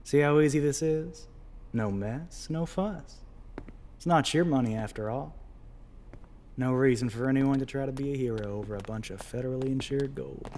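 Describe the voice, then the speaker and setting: A man's voice: medium pitch, moderate pace, darkish tone, a little hiss or hum, natural voice. Man acting a drawling Southern outlaw bank robber. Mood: smooth menace. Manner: drawling, folksy, coaxing with pet names. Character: scheming, volatile male bank robber, doting on his partner. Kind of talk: dramatic audio roleplay.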